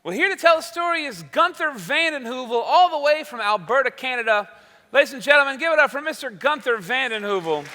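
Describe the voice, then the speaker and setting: A man's voice: very high-pitched, expressive, fairly quick, sharp tone, a faint crowd, exaggerated voice. A man playing a genial, showy host of an old-time radio variety show, with folksy announcer-style delivery. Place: large hall, live stage show. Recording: echoey hall, live stage sound.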